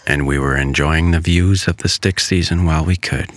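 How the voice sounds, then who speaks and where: A man in his thirties giving calm, reflective scripted voice-over narration at an unhurried pace. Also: rumbling low. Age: 30s. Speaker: vlogger. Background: faint music.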